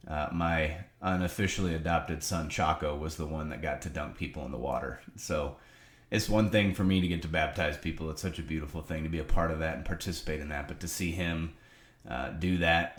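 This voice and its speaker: A deep, low-pitched man speaks with earnest, preacherly emphasis. A cold leaves him slightly nasal. The sound rings a little off the walls of his home office. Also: echoey room. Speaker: ministry leader giving a video update. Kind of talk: casual spoken update to supporters.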